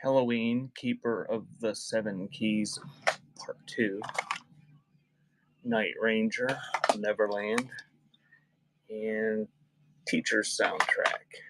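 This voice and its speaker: A man with a fairly low voice hosts a casual live-stream sale. He reads out items off the cuff and chats with viewers as he goes. There is faint noise and clicking in the recording. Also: steady tone, slow, pauses often. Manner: off-the-cuff, chatting with viewers by name. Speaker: online seller hosting a live cassette sale. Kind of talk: casual live-stream chat while reading out album titles.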